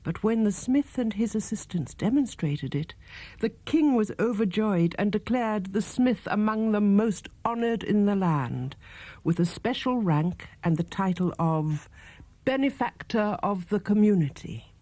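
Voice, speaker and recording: A man narrates a storybook tale in a scripted audiobook style. His tone is dark and mellow. The sound is close and dry, with no room around it. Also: high voice, animated, a little slow, no echo. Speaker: audiobook reader.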